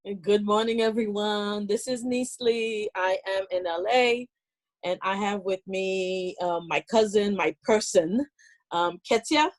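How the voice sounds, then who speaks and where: Woman from Haiti, mid-pitched, storytelling on a video call, unhurried, lots of fillers. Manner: warm storytelling, many fillers and pauses. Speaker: meeting participant.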